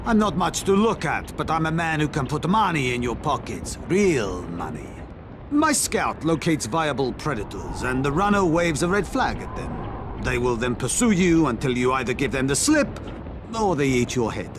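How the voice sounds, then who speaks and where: Slick, animated game hustler. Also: male voice, a little high, moderate pace, background noise. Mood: slick, callous. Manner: salesmanlike, blithely callous, wordy. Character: shady, glib male bookie running deadly zombie races. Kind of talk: video game cutscene acting.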